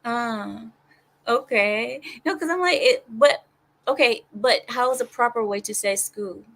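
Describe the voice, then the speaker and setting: A woman's voice: a little high, a little slow, fairly bright tone. Woman chatting casually as host. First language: Arabic. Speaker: host of a group discussion. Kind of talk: casual chat.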